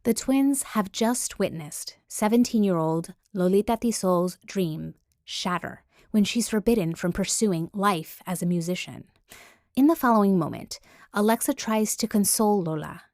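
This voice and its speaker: Woman podcast host reading a script, medium pitch, super dry close sound. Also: moderate pace, darkish tone, no echo. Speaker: podcast presenter. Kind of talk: scripted narration.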